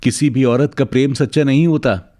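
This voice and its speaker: A man's voice: mid-range voice, moderate pace, mellow, natural voice. A man playing a bitter, menacing cursed werewolf man in a cartoon fairy tale, with a threatening, dramatic delivery.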